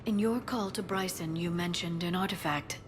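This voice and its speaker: A woman voicing an alert, practical military squadmate in a game, crisp and matter-of-fact. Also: on the low side, moderate pace, slight background noise, lightly acted. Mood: concerned. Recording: loud music behind.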